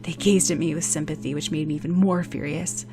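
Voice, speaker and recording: A woman's voice: low voice, fairly even intonation, fairly quick, music in the background. A woman reading fiction as a dramatic audiobook narrator.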